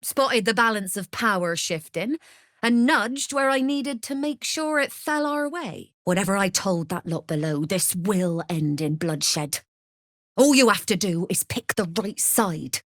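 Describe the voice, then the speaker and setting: A woman's voice: mid-range voice, lively intonation, unhurried, dry recording, studio quality, close to an everyday voice. An actress playing a scheming, commanding female mercenary leader in a video game, persuasive and calculating. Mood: smug, persuasive. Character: scheming, smooth-talking female mercenary leader plotting a takeover. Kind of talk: video game character acting.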